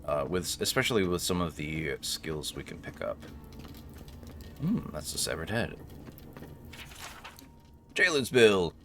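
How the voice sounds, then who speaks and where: Man, low voice, swooping intonation, unhurried, wry gaming commentary, playful banter. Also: sing-song, vocal fry, background noise. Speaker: gaming video host. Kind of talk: casual joking let's play commentary, reading game text aloud.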